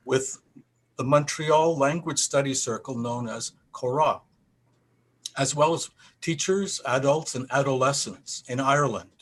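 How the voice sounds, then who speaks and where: A man's voice: a little high, fairly bright tone. A man acting as interviewer, giving prepared introductions and questions in a formal, courteous manner. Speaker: interviewer, festival president.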